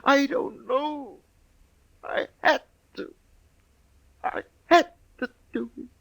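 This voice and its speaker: An actor playing a crazed, dying little killer in a 1950s radio western, confessing in halting anguish. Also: male voice, very high voice, gravelly, pushed and bright, exaggerated voice. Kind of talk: 1950s radio drama acting. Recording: old 1950s radio recording.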